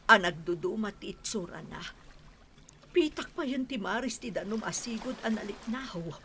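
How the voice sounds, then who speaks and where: A woman's voice: mid-range voice, expressive, normal speed, a bit bright, a little hiss or hum. A woman playing a grave woman reporting disaster in a radio drama. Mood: dismayed. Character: grave woman reporting storm damage to her mistress.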